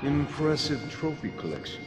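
An actor playing a proud, mocking warlord villain in a video game, formal and theatrical. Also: male voice, a little high, very animated, normal speed. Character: proud, taunting warlord villain with mystical mind powers. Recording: echoey hall with music.

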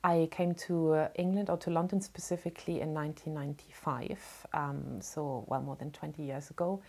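A woman's voice: sits low, steady tone, moderate pace, dark tone. A woman in her forties from East Germany with a German accent, explaining her background plainly in a documentary interview at home. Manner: plain, matter-of-fact explaining. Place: room at home.

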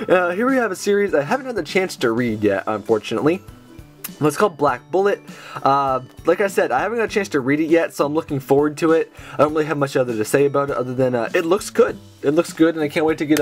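A young man who is sick and has a sore throat, chatting casually with lots of fillers as he reviews his book haul indoors. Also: on the high side. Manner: chatty, enthusiastic, many fillers. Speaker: manga haul video host. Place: indoors at a table with books.

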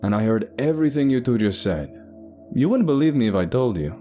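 A man's voice: medium pitch, wildly swooping pitch, moderate pace, mellow, faint music behind, voice pushed up. A man voicing a smug, mysterious, boastful male traveler in a told story. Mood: commanding.

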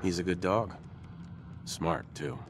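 A man's voice: low voice, slow pace, background noise. A man playing an earnest survivor, the hero of a video game, in a natural everyday voice. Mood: curious. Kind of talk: game voice acting.

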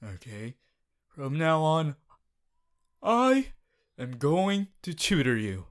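A man's voice: a little high, sing-song, takes it slowly. A man voicing a strict, earnest teenage class president, formal and preachy, in an audio roleplay. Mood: earnest pleading. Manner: formal, preachy, exasperated, stiff phrasing. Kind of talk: ASMR character audio roleplay monologue.

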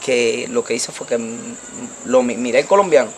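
A young Dominican man with a Puerto Rican accent telling his story in a street interview, animated and checking the listener follows him, into a handheld mic outdoors at night. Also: medium pitch, moderate pace, a little hiss or hum, poor audio. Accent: Puerto Rican Spanish. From Dominican Republic. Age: young adult. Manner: animated, gesturing, repeats "tú me entiendes". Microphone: handheld mic held by interviewer.

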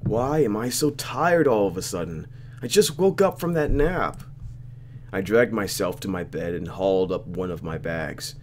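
A man's voice: lowish voice, lively intonation, normal speed, slight background noise. A young man doing a let's play, reading a visual novel aloud with playful, reactive commentary.

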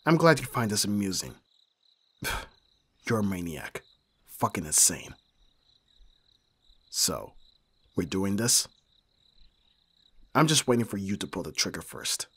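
A man acting a brooding mafia boss, hard but secretly caring, in a dramatic audio roleplay with terse, measured delivery. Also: on the low side, big pitch swings, a bit bright, breathy edge, full character voice. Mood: cold, menacing. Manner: terse, profane, sighing, measured.

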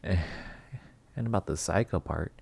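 A man playing a flirty, possessive, delusional vampire master in a roleplay ASMR monologue, teasing and theatrical with drawn-out words. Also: low-pitched, creaky, darkish tone, slightly rough, heavily acted. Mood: mocking, possessive.

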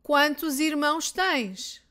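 A woman with a European Portuguese accent drills beginner phrases, saying each one twice. Her voice sits high, and she speaks slowly and carefully, giving every word its space. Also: lively intonation, pushed and bright. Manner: reads each line twice, clearly and deliberately. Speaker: Portuguese teacher. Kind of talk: slow repeated phrase drills for learners.

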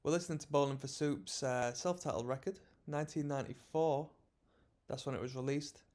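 A young man in his twenties hosting a music reaction, talking in a relaxed, rambling, slightly sleepy way from a small room. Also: mid-range voice, fairly quick. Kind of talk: casual music reaction commentary. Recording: song playing faintly behind.

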